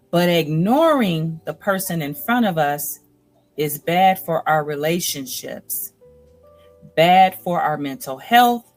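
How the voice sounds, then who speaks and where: A woman host reading nonfiction aloud in a deliberate, earnest, instructive manner. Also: on the low side, a little mellow. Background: music bed.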